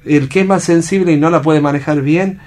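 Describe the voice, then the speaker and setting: A man's voice: fairly high pitch, brisk, a little mellow. A man with an Argentine accent in casual, joking radio conversation, talking informally. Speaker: radio talk show participant.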